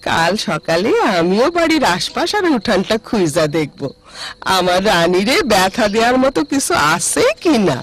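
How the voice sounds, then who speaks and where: A woman's voice: medium pitch, very animated, moderate pace. A woman playing an anxious, loving elderly grandmother in a village cartoon, with a rural Bangladeshi accent. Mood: concerned. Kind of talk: animated cartoon acting.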